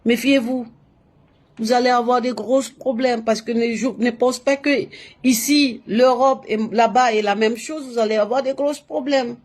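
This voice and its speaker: A woman's voice: a little high, steady tone, normal speed, darkish tone, slight background noise. A woman from Latin America speaking French in an emphatic, repetitive way on a live stream, full of restarts and fillers, giving spiritual advice. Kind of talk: casual live-stream monologue.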